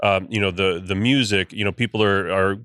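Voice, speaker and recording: A man's voice: deep voice, fairly even intonation, fairly quick, a bit bright, no echo, clean studio sound. A bilingual Cuban-American man hosting a casual podcast discussion, thoughtful and careful with his words, with many fillers. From United States. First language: Spanish and English.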